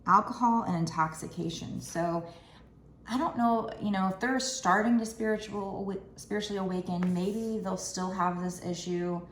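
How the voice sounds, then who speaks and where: A woman in her thirties with a raspy, tired voice, giving a casual, rambling tarot card reading at a table indoors. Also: medium pitch, steady tone, moderate pace, roomy sound, a little hiss or hum. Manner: chatty, rambling, with many fillers. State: raspy from lack of sleep. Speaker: tarot reader.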